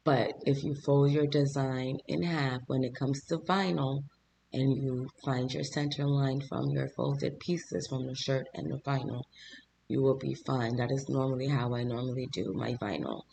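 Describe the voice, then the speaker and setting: A man narrates his own vlog in a casual voice-over, talking to his viewers like friends. His voice is hoarse and gravelly, with a mid pitch. A faint hiss sits under the recording. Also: fairly even intonation, normal speed, darkish tone, low-quality recording. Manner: chatty, talks straight to viewers. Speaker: small business owner and channel host.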